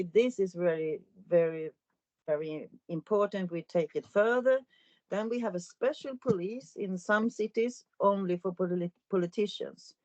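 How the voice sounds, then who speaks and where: A middle-aged woman from Sweden, a local politician, speaking haltingly with many restarts in a webinar. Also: medium pitch, a little mellow, no echo. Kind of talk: webinar testimony. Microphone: video call. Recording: dry, dull video call audio.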